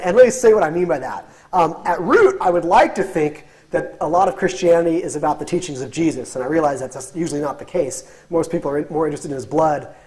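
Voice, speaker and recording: A man's voice: on the high side, a little mellow. A man in his thirties giving a lecture in a classroom, speaking animatedly with frequent restarts and fillers, on a lapel mic. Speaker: guest lecturer. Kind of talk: lecture with audience questions. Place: classroom with whiteboard.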